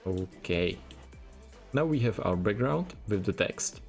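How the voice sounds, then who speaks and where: A low, gravelly man's voice with a Czech accent talks through his coding tutorial one step at a time. He keeps returning to "we're gonna" as he goes. Faint music plays under him, and keys tap now and then. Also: lively intonation, slow. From Czech Republic. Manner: plain step-by-step instructions, repeats "we're gonna". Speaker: programming tutor. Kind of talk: screen-recorded coding tutorial.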